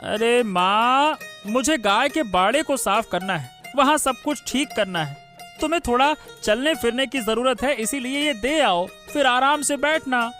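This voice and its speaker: Man acting a sharp, insistent milkman son. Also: very high voice, animated, measured pace, faint music behind, exaggerated voice. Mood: insistent, exasperated. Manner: insistent, exasperated. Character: hard-working, nagging grown son, a milkman. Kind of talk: Hindi cartoon moral-story dubbing.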